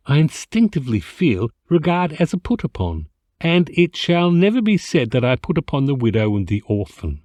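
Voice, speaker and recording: A man reading a comic literary story as an amateur narrator, theatrical and expressive with mock dignity. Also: mid-range voice, no room sound. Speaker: amateur voice actor reading an audiobook excerpt.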